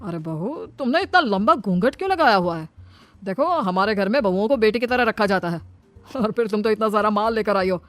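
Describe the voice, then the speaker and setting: A woman's voice: mid-range voice, expressive, fairly quick, a bit bright, dry recording, natural voice. A woman voicing a greedy, fussy middle-aged father-in-law in a cartoon, with an exclaiming manner. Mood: scolding. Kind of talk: scripted Hindi cartoon dialogue. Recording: music behind the voice.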